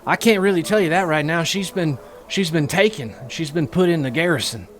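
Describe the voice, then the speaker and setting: Man putting on a jittery Texan runaway who stammers through every line, pitched up. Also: a little fast, faint music behind, natural voice. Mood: scared. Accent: Texas. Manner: stammering, breathless. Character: scared stammering young male fugitive.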